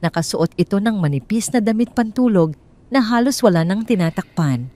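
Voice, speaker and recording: A woman telling a first-person drama story in a hushed, tense, suspenseful way. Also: mid-range voice, animated, a little fast. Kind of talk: first-person scripted narration.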